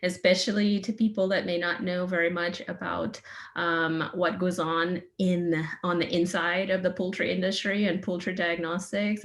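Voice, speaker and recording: A woman in her forties from Trinidad with a Trinidadian accent, a veterinarian giving a friendly, enthusiastic science talk with some fillers. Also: lowish voice. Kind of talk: informal science presentation. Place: remote online session.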